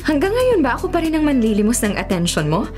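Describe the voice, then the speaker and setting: A woman's voice: high-pitched, wildly swooping pitch, fast, a little mellow. An actress playing a mischievous, affectionate young woman, a mayor's daughter, in a Filipino radio drama, teasing and forthright with a Filipino accent. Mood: teasing, tender. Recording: music behind the voice.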